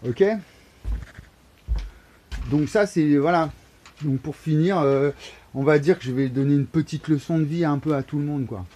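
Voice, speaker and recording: A man's voice: a little high, normal speed, long pauses, dark tone. A man, rambling to a phone camera in a wooden shed, repeating words and piling on fillers with sudden emphatic bursts. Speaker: vlogger. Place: wooden shed or barn, indoors. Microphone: phone camera held low at arm's length.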